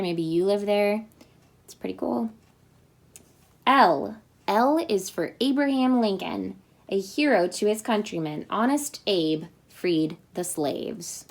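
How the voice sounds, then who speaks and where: Slow, cheerful woman reading a picture book. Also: mid-range voice. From Indiana. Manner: slow, cheerful storytime reading with chatty asides. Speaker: teacher reading aloud.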